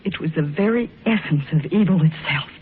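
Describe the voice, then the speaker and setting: A woman's voice: deep voice, expressive, brisk. An actress playing a haunted, frightened young woman with melodramatic intensity in an old radio horror drama. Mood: fearful. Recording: narrow-band, hissy old radio recording.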